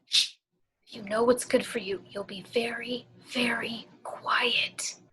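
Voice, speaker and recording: A woman acts out an armed, hostile guardian of a haunted theater in a horror script read. Her voice sits a little high. She speaks in folksy, clipped threats, full of menace and suspicion. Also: fairly even intonation, slow, sharp tone, poor audio. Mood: quiet menace. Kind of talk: amateur horror screenplay table read.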